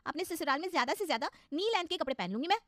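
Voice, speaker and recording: A haughty modern mother of a bride, acted out by a woman in a cartoon moral story. Her voice is high and mocking, sprinkled with English, and recorded very dry. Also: expressive, very fast, fairly bright tone, no room sound. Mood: indignant.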